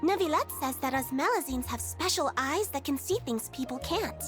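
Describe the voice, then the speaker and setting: A sweet little Melusine creature. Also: female voice, high-pitched, expressive, normal speed, a bit bright. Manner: polite, earnest, proud of her special eyes. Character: small cheerful female Melusine creature, earnest and polite. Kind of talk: game voice acting. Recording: game dialogue over music.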